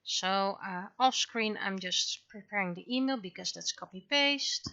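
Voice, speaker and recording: A woman's voice: mid-range voice, measured pace, vocal fry, pushed and bright, no echo, highs cut off. A woman giving casual livestream commentary as she shows her own creative work. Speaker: livestreamer, webcomic and visual novel creator.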